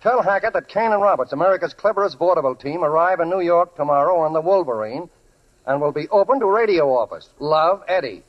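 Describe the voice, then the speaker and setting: High-voiced brash radio gossip columnist. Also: male voice, expressive, fast talker. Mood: brisk, wry. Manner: snappy, sardonic patter. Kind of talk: 1940s radio comedy acting. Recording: dull vintage 1940s radio recording.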